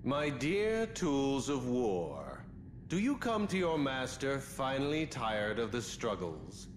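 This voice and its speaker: Slow, pompous tyrant emperor. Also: male voice, medium pitch, huge pitch swings, noisy background. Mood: smug, mocking. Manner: pompous, deliberate, mocking. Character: gloating tyrant emperor villain, grandiose and arrogant. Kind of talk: theatrical video game villain acting. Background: orchestral game music.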